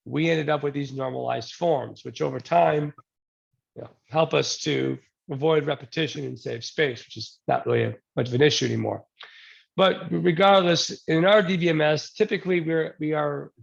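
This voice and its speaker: A man with a very gravelly, slightly high voice presents a technical topic over a video call. He is getting over a cold and keeps a loose, joking manner with frequent ums. The sound is dull and lo-fi. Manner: relaxed, joking, many ums and restarts. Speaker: presenter at a user group talk. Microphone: new desk mic, then a headset.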